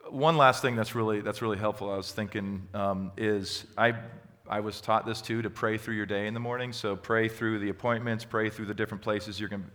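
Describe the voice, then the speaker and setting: Low-voiced man teaching in a reverberant hall. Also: fairly even intonation, fairly quick, hardly any pauses. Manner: conversational, gently encouraging. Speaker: pastor leading a group session. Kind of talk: teaching and answering questions.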